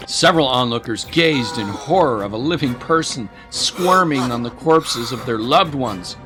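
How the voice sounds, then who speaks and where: A man's voice: mid-range voice, animated, normal speed. Male audio drama narrator over music. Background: music bed.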